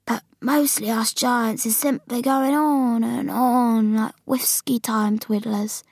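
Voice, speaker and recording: A woman's voice: on the high side, fairly even intonation, takes it slowly, a little mellow, no echo, clean studio sound. A woman voicing a very old, forlorn but friendly male giant in a storybook, drawing out mangled words with great emphasis. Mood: musing.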